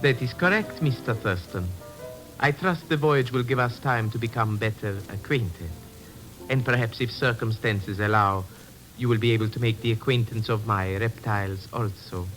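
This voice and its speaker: An actor playing a sinister foreign zoologist, formal, measured and menacingly polite, in an old radio drama. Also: male voice, on the low side, fairly bright tone, lightly acted, poor audio. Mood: silky, threatening. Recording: vintage radio recording with music.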